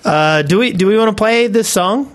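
A man's voice: sits high, moderate pace. A man co-hosting a casual podcast, chipping in with a wisecracking manner. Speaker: podcast co-host. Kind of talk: casual chat, joking interjections.

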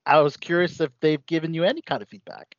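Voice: mid-range voice, fast, no echo. An interviewer asking curious follow-up questions in a casual chat. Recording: dry, dull lo-fi audio.

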